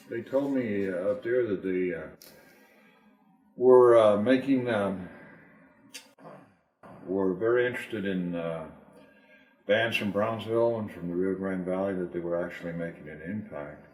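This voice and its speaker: Male host, very low voice, halting questions, echoey room. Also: a little mellow. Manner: halting, many fillers and hesitations. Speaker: interviewer, host. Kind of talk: casual interview questions.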